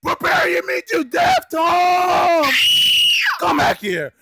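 A man's voice: very high-pitched, huge pitch swings, slow pace, creaky, heavy background noise, heavily acted. A man voicing a furious, foul-mouthed cat in a crude fan dub, swearing and complaining nonstop. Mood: menacing. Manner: swears constantly, shouts and complains.

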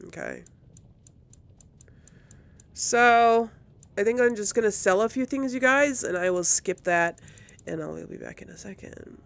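A woman's voice: medium pitch, measured pace, creaky, pauses often. A woman hosting a let's play, chatting casually and thinking aloud as she comments on her game.

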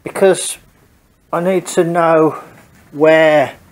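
A man's voice: fairly high pitch, fairly even intonation, very slow, slight background noise. A man with a head cold, casually talking through his car wiring work in a home garage, hesitant and thinking aloud. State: congested from a cold. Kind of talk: casual workshop walkthrough.

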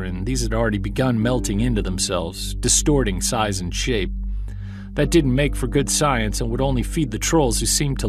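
A man's voice: medium pitch, close to an everyday voice. A man playing an earnest, wry Sasquatch investigator, confiding in first person in an audio drama. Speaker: narrator and lead of an audio drama. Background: music.

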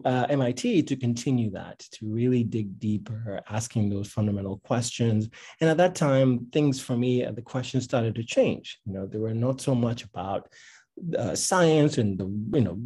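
A middle-aged man from Tanzania with a dark, mellow voice and a husky grain. He talks conversationally, as a workshop speaker, and encourages his listeners, doubling back on phrases to press them home. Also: mid-range voice. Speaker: speaker, founder of a science advocacy institute. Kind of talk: informal workshop talk with slides. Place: indoor room with bookshelves, on a video call.

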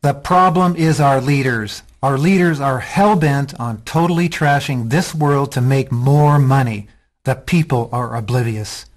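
An earnest activist rebel in a radio drama, acted by a man. The voice sits at a middle pitch and argues with defiance and insistence. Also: unhurried, natural voice. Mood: insistent.

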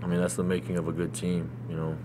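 A male athlete in his twenties talks casually to reporters after a game. His pitch is low and stays level, with clear room noise and hum behind him. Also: completely flat delivery, quick pace. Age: 20s. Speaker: college basketball player. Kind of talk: casual postgame press conference answers. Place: indoor press conference room.

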